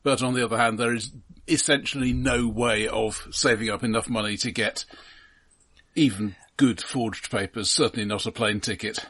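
A man with a lowish, husky voice chats about films as a podcast co-host. His delivery is dry and understated, offering gentle corrections in an informal conversation. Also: steady tone, normal speed. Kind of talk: casual film discussion.

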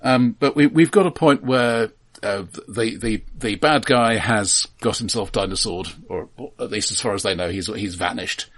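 A man co-hosting a film podcast, speaking casually in a dry, analytical, halting way, often repeating words. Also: lowish voice, fairly bright tone.

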